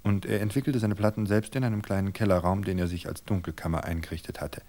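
A man narrating a novel in a calm, measured storytelling voice. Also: low voice.

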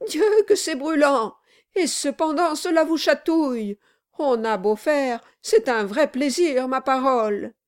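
Lady doing a grovelling lawyer guy from a storybook, all flattery and pleading, voice pitched up. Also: a little slow. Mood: forced delight. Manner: obsequious, deferential, pleading. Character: servile, flattering male lawyer cowed by his patron. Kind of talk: dramatized audiobook reading.

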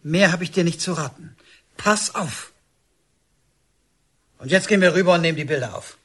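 Rural policeman, stubborn, husky, higher-pitched, terse, official, insistent, radio drama. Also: normal speed, fairly bright tone. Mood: warning. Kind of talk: German radio drama acting.

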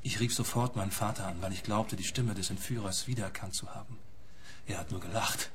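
A man's voice: on the low side, brisk, a bit bright, a little hiss or hum, close to an everyday voice. An actor playing an earnest, traumatised and stubborn male client in a radio play, speaking seriously and insistently. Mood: earnest, troubled.

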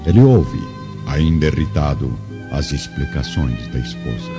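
A man's voice: rumbling low, big pitch swings, a little slow. A man narrating a radio drama in a solemn, scripted style. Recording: dull lo-fi recording with music.

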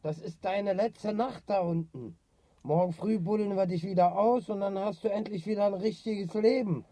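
A man's voice: sits high, moderate pace, dark tone, dry recording, lightly acted. An actor playing a loyal male mate in a radio drama, colloquial and blunt, sometimes evasive, with a matey manner. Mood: consoling. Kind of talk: naturalistic radio drama acting.

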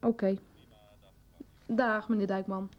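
An actress playing a discreet executive secretary in a radio soap, speaking in a courteous, careful, businesslike way. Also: female voice, medium pitch, little pitch movement, takes it slowly, lo-fi sound, close to an everyday voice. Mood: polite, guarded.

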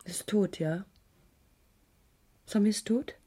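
An actress playing a troubled, earnest woman witness in a German radio crime drama, giving halting testimony with protective defiance. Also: medium pitch, warm tone, husky. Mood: shaken, hushed.

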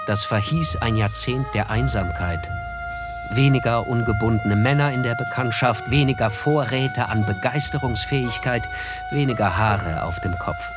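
A man narrating a radio play in a measured, reflective way. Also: lowish voice. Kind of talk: scripted literary narration.

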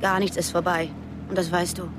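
A woman's voice: fairly low pitch, flat delivery, fairly quick, natural voice. A woman playing an anxious, probing agent on the run in a radio drama, with a direct, questioning manner. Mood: worried. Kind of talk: naturalistic German radio drama dialogue. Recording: noisy, outdoor car sounds. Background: vehicle and street ambience.

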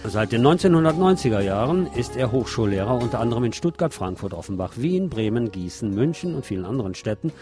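A man's voice: medium pitch, sing-song, quick pace, hardly any pauses, music in the background. A man reading a written article aloud as a radio host, in a scripted narration style.

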